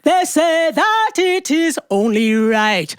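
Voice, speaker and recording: A woman voicing an earnest, devoted young folktale sister, raised pitch. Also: sing-song, slow pace, no room sound, studio quality, exaggerated voice. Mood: chanting, pleading. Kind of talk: storytelling, partly sung or chanted.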